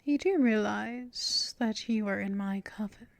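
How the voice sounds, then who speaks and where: A woman voicing a possessive, teasing female vampire mistress in an ASMR audio roleplay, half-whispering in a breathy, intimate way. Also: mid-range voice, unhurried, no room sound.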